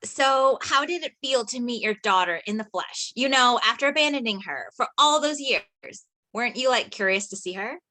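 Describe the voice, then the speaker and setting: A bubbly, tactless young friend asks blunt questions, read by a woman at a table read. Her voice is high and bright, with an edge to it. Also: lively intonation, normal speed, few pauses. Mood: bubbly, nosy.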